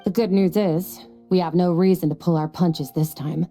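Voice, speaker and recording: Woman playing a cool, dark-voiced warrior mentor. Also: fairly low pitch, steady tone, faint music behind, natural voice. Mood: resolute. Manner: cool, measured, matter-of-fact. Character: aloof, stoic young woman warrior and mentor. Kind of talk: video game character acting.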